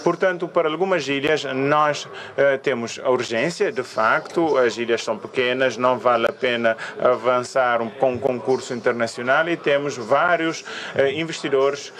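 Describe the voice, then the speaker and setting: A man's voice: mid-range voice, fairly even intonation, normal speed, fairly bright tone. A man speaking on a conference panel, hesitant with many fillers.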